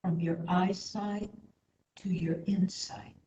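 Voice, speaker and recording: Female meditation instructor, very slow and soothing, low-pitched, recorded in an echoey room with slightly dull sound. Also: steady tone, a little hiss or hum. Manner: soothing, unhurried, gentle. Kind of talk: guided meditation.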